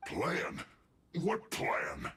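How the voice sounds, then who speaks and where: A man voicing a hapless, aggrieved foot soldier in a video game, protesting in a whiny, halting, indignant way. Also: medium pitch, huge pitch swings, pushed and bright, heavily acted. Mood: pleading. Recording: echoey sound, faint game music behind. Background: game music.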